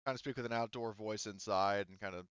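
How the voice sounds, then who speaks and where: A man's voice: on the low side, fairly even intonation, quick pace, fairly bright tone, smooth, no room sound, lo-fi sound. A man acting as game master, explaining rules and story casually at the table.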